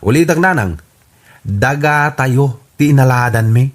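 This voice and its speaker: A man's voice: mid-range voice, expressive, measured pace, lightly acted. A man with an Ilocano accent playing a worried adult son in a radio comedy drama. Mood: reproachful.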